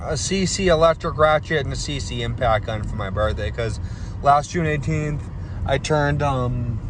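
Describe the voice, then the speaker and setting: A man's voice: medium pitch, moderate pace. Rambling young guy in a car. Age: 20s. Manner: rambling, repeats himself and restarts sentences. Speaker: vlogger doing car maintenance videos. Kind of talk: casual vlog chat while driving. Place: inside a moving car. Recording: car noise behind the voice.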